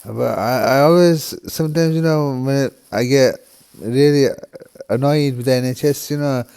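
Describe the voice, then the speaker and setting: A man from the UK talks quietly to his viewers in a soft, mellow, rather dark voice. He speaks haltingly, with frequent restarts and "you know" fillers, sounding subdued and heavy-hearted. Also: mid-range voice, vocal fry, smooth. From United Kingdom. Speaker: vlogger. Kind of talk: emotional personal monologue.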